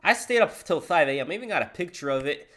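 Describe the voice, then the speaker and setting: A man's voice: a little high, lively intonation, fast, bright, forceful tone. Slangy man chatting on a live stream. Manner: loose slangy chatter, says bro a lot. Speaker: live streamer reacting to a video. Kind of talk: casual live stream reaction.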